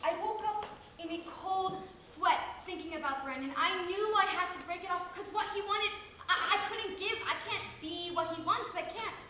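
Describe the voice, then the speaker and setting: A flustered young woman rambles, halting and laughing at her own story, as a woman acts her out in a comic stage monologue. Her voice sits very high and bright. The sound bounces around a very echoey room. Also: a little hiss or hum. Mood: flustered rambling.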